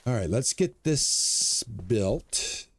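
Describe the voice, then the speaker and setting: A man's voice: medium pitch, big pitch swings, very slow, slightly rough, dry recording. A man in his sixties chatting casually to his viewers as he streams a farming game, upbeat and talkative. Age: 60s. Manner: chatty, upbeat, talks to chat. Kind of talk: casual live-stream commentary.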